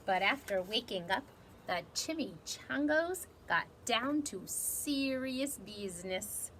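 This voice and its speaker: Woman reading a kids book out loud, takes her time, dramatic, bit of noise behind. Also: a little high. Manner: animated, dramatic delivery with measured phrasing. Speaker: storybook reader. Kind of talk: expressive children's storybook read-aloud.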